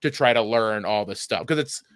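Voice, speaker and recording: A man's voice: fairly low pitch, moderate pace, a bit bright, no room sound, clean studio sound. A man chatting casually and comedically as a podcast co-host, rambling and often interrupting himself, with some swearing. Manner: rambling, self-interrupting, profane.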